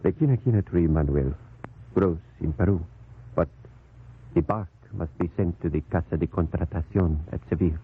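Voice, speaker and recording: An actor playing a calm, grave, fatherly priest in a 1940s radio drama, with deliberate, soothing delivery. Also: low voice, breathy edge. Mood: grave, explaining. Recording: noisy, tinny old radio recording.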